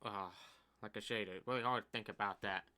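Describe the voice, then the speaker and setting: A deaf man in his twenties rambling through a casual complaint about slow game updates, his speech uneven in loudness. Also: lowish voice, steady tone, clean tone. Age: 20s. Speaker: gaming YouTuber. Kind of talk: casual commentary vlog.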